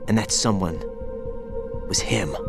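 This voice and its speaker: A man voicing a young male soldier haunted by his past as a child soldier, in a video game cutscene with music under it, talking quietly and guardedly. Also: low-pitched, unhurried, creaky. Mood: brooding. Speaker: game character.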